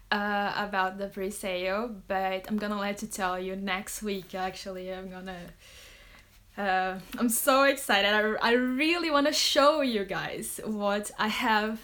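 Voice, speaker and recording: A woman's voice: mid-range voice, fairly bright tone. A woman author from Brazil, casually answering fan questions on a phone live stream, chatty with many fillers and laughs. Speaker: romance author. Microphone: phone on a social media live stream.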